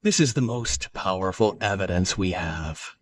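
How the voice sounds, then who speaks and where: A man playing a scheming, villainous village chief in an animated moral story, declaiming accusations and orders. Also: sits low, moderate pace, recorded from a distance. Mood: accusing.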